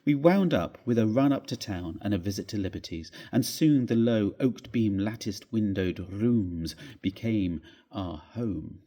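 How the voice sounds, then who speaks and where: A man with a husky voice reading a story aloud in a measured, expressive, theatrical way. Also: fairly low pitch. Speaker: reader of a classic ghost story.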